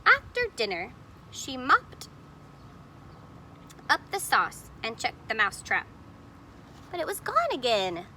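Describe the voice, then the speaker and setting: A woman reads a children's picture book aloud in a fairly high, very animated voice. She is expressive and explanatory, pointing things out for young listeners. There is faint noise behind her. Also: takes it slowly, long pauses. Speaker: storybook reader.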